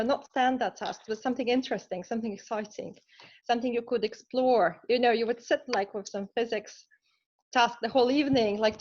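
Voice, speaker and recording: A woman from Lithuania with a Lithuanian accent, a scientist answering interview questions earnestly, with many pauses and fillers. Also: on the high side, dull audio. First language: Russian. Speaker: scientist guest.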